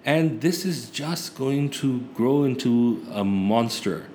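A man's voice: mid-range voice, expressive, slow pace, darkish tone. A man with a mid-range voice speaks earnestly, thinking aloud and restarting his sentences as he works out his point. Faint background noise runs under the recording. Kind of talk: casual political discussion.

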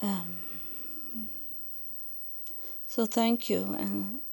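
A woman from Sweden with a Swedish accent, speaking English as a second language in a halting spiritual podcast monologue, searching for words. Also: mid-range voice, fairly even intonation, gravelly, dark tone, slightly rough. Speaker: podcast host relaying spiritual messages.

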